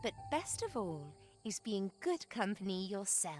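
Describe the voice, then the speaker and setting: A woman voicing a wise, gentle light inner voice in a video game, speaking calmly in proverbs. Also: medium pitch, measured pace, faint music behind. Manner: calm, proverb-quoting.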